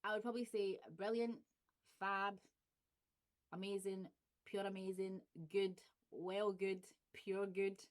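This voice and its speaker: A woman's voice: medium pitch, flat delivery, takes it slowly. A woman with a Glaswegian accent chatting casually on her channel, laughing often and playing with example phrases. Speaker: YouTuber. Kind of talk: casual vlog chat.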